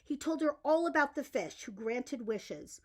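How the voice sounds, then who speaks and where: A woman reading a bedtime story aloud in an expressive storytelling style. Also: sits high, measured pace. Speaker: storyteller reading a picture book.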